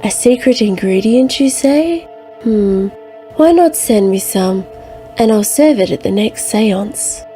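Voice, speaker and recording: A woman playing an unflappable New Age advice columnist, reading letters in a deadpan comic way. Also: medium pitch, lightly acted. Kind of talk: reading listener letters, satirical.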